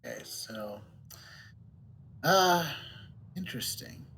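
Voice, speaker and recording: A man giving casual gameplay commentary, musing haltingly as he goes. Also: mid-range voice, very animated, fairly bright tone, breathy edge. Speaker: game streamer.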